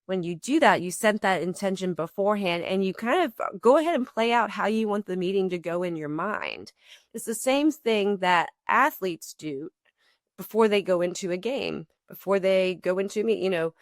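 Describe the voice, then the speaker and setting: A woman's voice: mid-range voice, dry recording, studio quality. A woman guest on a podcast, explaining ideas in long, building sentences with everyday examples. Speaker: podcast guest, company co-founder. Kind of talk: conversational explaining.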